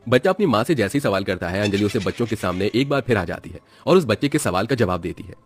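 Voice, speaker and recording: A man narrating a scripted animated horror story. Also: lowish voice, quick pace, faint music behind.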